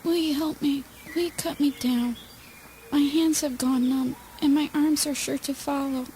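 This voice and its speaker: A woman's voice: sits high, steady tone, measured pace, dark tone, slight background noise. A woman playing a weary but urgent captive wife and mother in a spoken word play, earnest and theatrical. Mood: pleading, weary.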